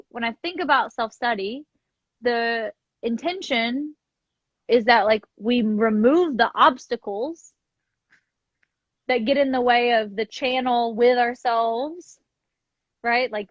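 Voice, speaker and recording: Higher-voiced woman in reflective, casual talk. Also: slow pace. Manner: tentative phrasing with frequent "like" and "right?" check-ins. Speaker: podcast co-host discussing self-study. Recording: muffled lo-fi sound, roomy echo.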